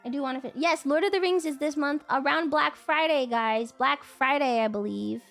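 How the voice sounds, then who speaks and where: A woman's voice: sits high, moderate pace, gravelly, a bit bright, faint music behind. A woman livestreamer with a cold, chatting casually and rambling, breaking into whiny, playful outbursts, on a desk mic at home. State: congested with a cold. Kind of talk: casual chat stream.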